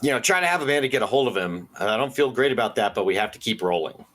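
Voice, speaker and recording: An actor playing an earnest small-town radio host who speaks in a conspiratorial, hesitant way with dry asides. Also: male voice, medium pitch, animated, racing, a bit bright, close to an everyday voice. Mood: uneasy. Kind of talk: audio drama radio call-in hosting.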